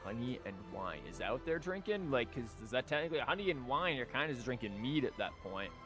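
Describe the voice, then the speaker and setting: A man's voice: mid-range voice, very animated, fast talker. Male streamer, casual game commentary, wry and rambling, light music underneath.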